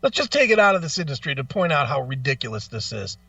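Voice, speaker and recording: A man's voice: on the high side, big pitch swings, quick pace, vocal fry, pushed and bright, dry recording, far from the mic, lo-fi sound. A man hosting a talk show, ranting casually in a blunt, profane, emphatic way and arguing through rhetorical questions.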